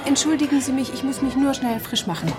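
An actress playing a self-possessed, brisk young woman typist in a German radio play. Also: high-pitched, lively intonation, music in the background. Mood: bright, sociable.

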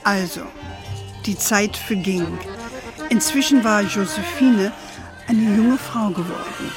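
A woman playing a fond older grandmother who tells stories at an unhurried pace in a children's radio play. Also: medium pitch, music in the background, lightly acted. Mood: storytelling. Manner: unhurried, fond, sprinkles English endearments.

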